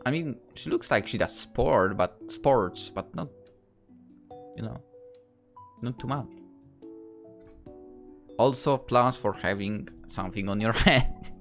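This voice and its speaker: A woman's voice: rumbling low, expressive, slow pace, long pauses, soft background music. A woman hosting a gaming video, reading story narration and adding amused, rambling casual commentary.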